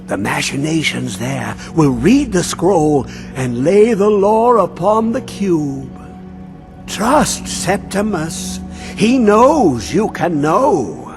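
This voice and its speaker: A man voicing a mad, obsessed old male scholar who talks in riddles and swings between high and low. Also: sing-song, slow, creaky, full character voice. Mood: cryptic. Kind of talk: video game voice acting. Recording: game audio with faint music.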